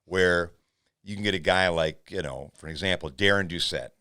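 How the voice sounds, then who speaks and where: A man's voice: moderate pace, pushed and bright, dry recording, clean studio sound. A male podcast host, chatting casually with a guest. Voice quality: booming voice. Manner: frequent brief agreements, thoughtful. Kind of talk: casual interview chat.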